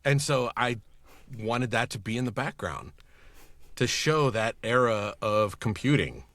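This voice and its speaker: A man's voice: mid-range voice, a little slow, fairly bright tone, no room sound. A man in a casual chat as a podcast guest, talking in an enthusiastic, rambling storytelling way. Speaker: podcast guest, audio producer and director.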